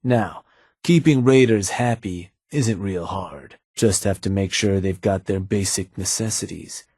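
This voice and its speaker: An actor playing a calm, seasoned male raider advisor who talks in an easygoing, folksy drawl. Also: low-pitched, a little slow, husky, no room sound, exaggerated voice. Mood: steady. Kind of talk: game voice acting. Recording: dry studio recording.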